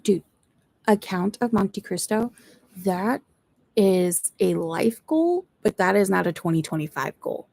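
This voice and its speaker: A woman's voice: medium pitch, animated, unhurried, gravelly, darkish tone, dry recording. A woman who is not a native English speaker, chatting casually and thinking aloud during a live reading session at home. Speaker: book streamer. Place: at home, seated in a chair.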